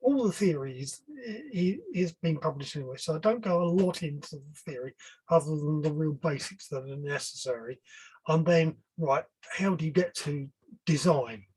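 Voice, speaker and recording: An older man speaking informally as an engineer guest on a webinar, halting and often rephrasing himself. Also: a little high, darkish tone, husky, low-quality recording. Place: online video call.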